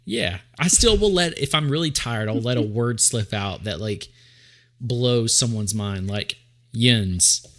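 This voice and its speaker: Male podcast co-host, light East Tennessee accent, chatty, mid pitch. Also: lively intonation, unhurried, a bit bright. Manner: chatty, laughs often, lots of fillers. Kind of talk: casual chat.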